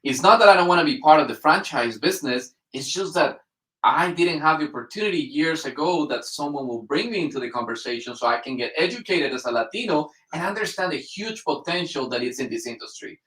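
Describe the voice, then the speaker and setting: A man, a Spanish speaker, talks as a guest with animated enthusiasm. He is miked from a distance in a roomy space. His voice sits at a mid pitch. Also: a little fast. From Colombia. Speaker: guest entrepreneur. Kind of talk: casual interview.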